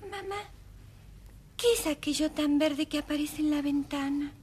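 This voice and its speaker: An actress voicing a frail, sweet, hopeful sick little girl in a children's audio storybook, gentle and earnest. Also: sits high, a little slow. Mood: weak, pleading.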